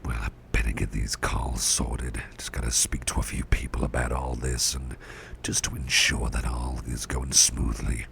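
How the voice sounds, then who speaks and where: Man playing a tender caring boss, ASMR roleplay, heavily creaky whisper, unhurried, reassuring, clear background noise. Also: level tone, a bit bright, exaggerated voice. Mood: affectionate. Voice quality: breathy whisper with deep gravelly rumble. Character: caring, gentle male boss soothing a cursed employee.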